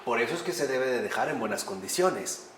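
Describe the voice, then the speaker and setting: A man teaching a lively lecture on faith, often posing rhetorical questions to his listeners. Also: medium pitch, brisk, a little hiss or hum. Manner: animated, rhetorical questions to the audience. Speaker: priest teaching a class. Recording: echoey room with faint ambience.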